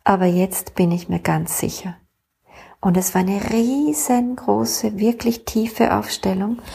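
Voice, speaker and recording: A woman with a husky, somewhat gravelly voice recounts stories from her sessions. Her talk is loose and rambling, full of fillers and restarts. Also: mid-range voice, mellow. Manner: rambling, with many fillers and self-corrections. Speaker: family constellation facilitator. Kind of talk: casual storytelling monologue.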